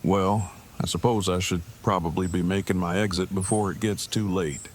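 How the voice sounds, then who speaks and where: A man's voice: low-pitched, steady tone, moderate pace, slight background noise, close to an everyday voice. A man playing an earnest, awkward, brainy engineer who talks in verbose, stilted sentences in an audio drama. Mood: polite, reserved. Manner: verbose, stilted, formal phrasing. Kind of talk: fan audio drama dialogue.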